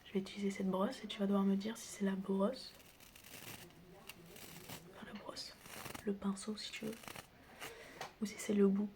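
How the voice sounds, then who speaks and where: A woman speaking softly and often whispering in a playful, teasing ASMR roleplay, casually addressing the listener as a friendly doctor. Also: medium pitch, flat delivery, normal speed, long pauses. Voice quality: soft, breathy, often whispering. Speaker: ASMR creator playing a chaotic doctor best friend. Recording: close recording with clear background noise. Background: background noise, clicking.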